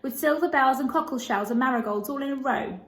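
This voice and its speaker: A woman's voice: sits high, brisk, roomy sound, pitched above the performer's own voice. A woman voicing an earnest, eager and slightly anxious little girl in a children's storybook, with a pleading manner.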